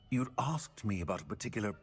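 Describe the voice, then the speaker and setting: Guarded professor, low voice, widely varied intonation, deferential, hesitant, fantasy game. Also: male voice, creaky, a little mellow, husky, full character voice. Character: discreet, deferential potions professor. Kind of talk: video game voice acting.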